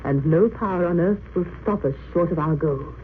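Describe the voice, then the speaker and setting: A woman's voice: fairly low pitch, moderate pace, natural voice. A condemned woman prisoner, played by an actress, composed and resolute, speaks in earnest, oratorical phrases. It is old-time radio drama acting, in a thin narrow-band recording with faint noise. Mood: fervent, resolute. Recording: muffled, noisy vintage radio recording.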